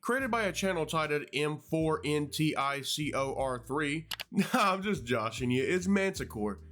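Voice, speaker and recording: A man's voice: a little high, fairly bright tone. A man narrating a video essay as a YouTube host, in a playful, conversational way. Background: music.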